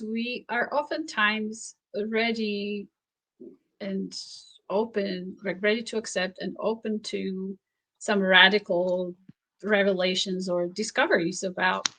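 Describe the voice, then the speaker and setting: A woman's voice: medium pitch, a bit bright, poor audio. Thoughtful woman author, native Slovak speaker. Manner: thoughtful, halting, laughs often. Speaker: author and researcher guest. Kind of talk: interview conversation.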